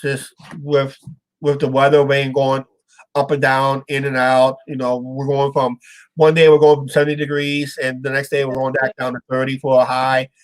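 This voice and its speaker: A man's voice: mid-range voice, completely flat delivery, normal speed, no room sound, poor audio. A man hosting a casual live-stream chat, rambling with lots of uh's and restarts, his voice sounding stuffed up and nasal. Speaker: show host.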